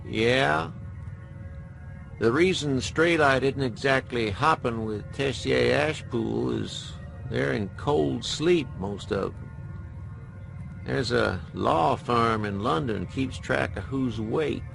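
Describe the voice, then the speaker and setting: An actor playing a wry, crusty veteran hacker in a radio drama, folksy and sardonic. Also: male voice, mid-range voice, slow pace, vocal fry, music in the background. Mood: wry, matter-of-fact. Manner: folksy drawled phrasing, sardonic.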